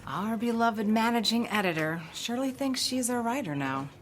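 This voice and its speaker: An actress playing a loyal, wry magazine office assistant in a radio drama. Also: female voice, on the high side, lively intonation, normal speed, very noisy, natural voice.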